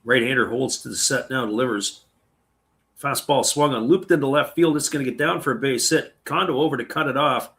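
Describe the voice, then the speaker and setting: A man calling a live baseball game play by play in a relaxed, chatty way, reading viewer comments between pitches, his voice going raspy. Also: a little fast. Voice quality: gravelly. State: voice getting raspy.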